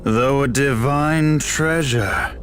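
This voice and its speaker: A man's voice: mid-range voice, expressive, takes it slowly, fairly bright tone. A man playing a megalomaniac self-proclaimed god in a fantasy game, with pompous, florid, theatrical delivery and lovesick obsession. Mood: mocking, lovesick. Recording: voice over music.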